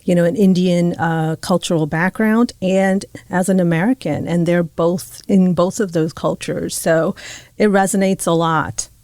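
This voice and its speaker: Woman, panel guest, reflective, lowish voice, dry sound. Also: steady tone, a little slow, darkish tone, no echo. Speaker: podcast guest. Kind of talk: casual panel conversation.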